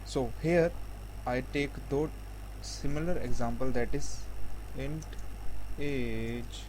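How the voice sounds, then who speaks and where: A man giving a programming tutorial, explaining step by step in a halting, repetitive way. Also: mid-range voice, a little mellow, rough recording. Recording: faint background noise.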